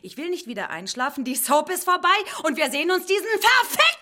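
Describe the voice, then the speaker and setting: An actress playing an overwrought, tipsy woman in an experimental radio play, repeating herself and swinging between muted complaint and shouting. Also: extremely high pitch, sing-song, fast talker, exaggerated voice. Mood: hysterical, desperate. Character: overwrought, tipsy woman craving TV soaps and escape.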